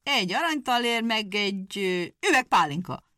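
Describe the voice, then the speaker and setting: A woman voicing a small, crooked-legged male hedgehog, sly and quick to take offense, with a folksy, stubborn way of talking. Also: mid-range voice, expressive, sharp tone, no echo, studio quality, voice pushed up.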